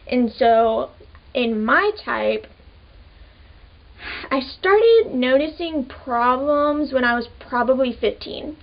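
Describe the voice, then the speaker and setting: A young woman of twenty with a high voice, talking to camera in a relaxed, chatty way and leaning on "like" between thoughts. The audio sounds a little dull and muffled. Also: slow pace. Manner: casual, chatty, many "like" fillers. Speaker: vlogger. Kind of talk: personal storytelling to camera.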